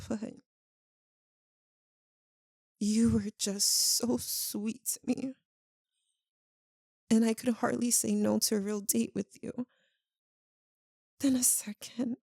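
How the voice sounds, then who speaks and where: Lovesick vampire woman, voiced by an actress: anguished ASMR roleplay, halting and soft, mellow tone, very slow delivery. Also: mid-range voice, gravelly, no echo, clean studio sound, exaggerated voice. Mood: regretful. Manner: halting, hushed, emotional delivery with long pauses. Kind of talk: ASMR roleplay, intimate dramatic monologue.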